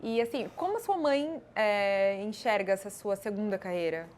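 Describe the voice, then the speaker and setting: A woman co-hosting a podcast, asking the guest questions in a brisk, conversational way. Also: medium pitch, lively intonation, a little mellow, a little hiss or hum. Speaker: podcast co-host. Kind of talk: interview questions.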